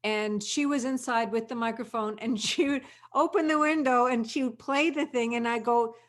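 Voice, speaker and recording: Woman w/ a highish voice just chatting and telling stories with castmates. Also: normal speed. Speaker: audio drama cast member. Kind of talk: casual anecdotal chat.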